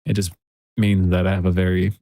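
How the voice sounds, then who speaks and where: A man mixing music on a livestream, thinking aloud in a soft, relaxed, casual way. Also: low-pitched, a little fast, no room sound. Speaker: music producer streaming a mixing session. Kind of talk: casual livestream commentary while working. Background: faint music from the mix being worked on.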